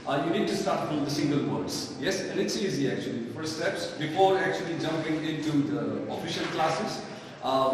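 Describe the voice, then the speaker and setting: A man with a Pakistani accent teaching a live online English lesson in a didactic way, often asking questions and answering them himself. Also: a little high. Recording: very echoey hall, distant mic, faint noise.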